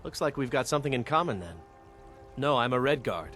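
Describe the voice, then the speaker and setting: An actor playing a proud, guarded wandering warrior in a video game, speaking in a measured, plain way. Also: male voice, mid-range voice, lively intonation, over music, close to an everyday voice. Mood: reflective. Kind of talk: video game character dialogue.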